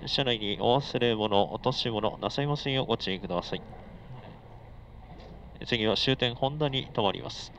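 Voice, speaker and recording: A man's voice: medium pitch, moderate pace, long pauses, a bit bright. A man making formal, polite train announcements as the conductor, heard over an onboard speaker. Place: inside a moving commuter train. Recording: muffled onboard speaker with noise. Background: faint train and air-conditioning noise.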